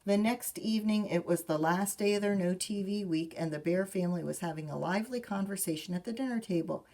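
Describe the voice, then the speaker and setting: Female children's librarian, storytime read-aloud, slightly low creaky voice, expressive, speaks straight to the kids. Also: a little fast, poor audio. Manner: expressive reading, talks directly to young listeners.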